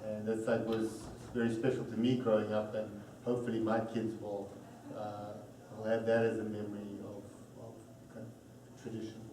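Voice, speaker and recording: A man from South Africa talking casually in a group discussion, halting with many fillers. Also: lowish voice, monotone. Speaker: panel speaker, parent. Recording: echoey room, distant mic, faint noise.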